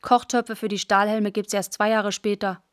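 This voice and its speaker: A woman's voice: medium pitch, fairly even intonation, normal speed, smooth, no echo, studio quality, lightly acted. An actress playing a postwar schoolgirl who tells her story in plain, clipped first-person radio drama narration. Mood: matter-of-fact. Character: matter-of-fact postwar schoolgirl telling her scavenging adventures.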